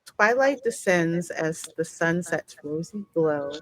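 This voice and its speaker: A woman's voice: lowish voice, animated, slow pace, slight background noise. A woman hosting a gaming channel, chatting casually as she reacts to a game and reads its narration aloud.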